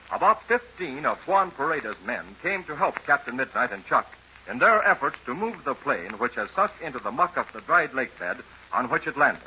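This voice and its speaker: An American man works as announcer in 1940s radio style. His high, very bright voice swings animatedly, selling and narrating with dramatic emphasis for young listeners. The sound is that of a dull, slightly noisy old broadcast. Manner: emphatic, dramatic salesmanship addressed to children. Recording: dull old radio recording with faint noise.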